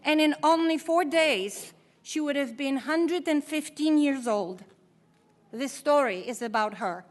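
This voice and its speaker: A middle-aged woman from Poland speaks at a podium microphone in a large hall, giving a formal keynote with a measured, deliberate delivery. Her voice sits high. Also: animated. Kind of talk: formal commencement speech.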